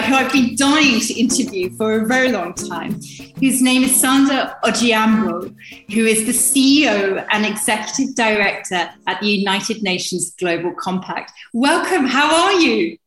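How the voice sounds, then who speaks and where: A woman interview host with a fairly high voice and an effusive, gushing way of talking. She chats conversationally with her guest. The sound is very echoey, with music behind. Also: lively intonation, unhurried, fairly bright tone.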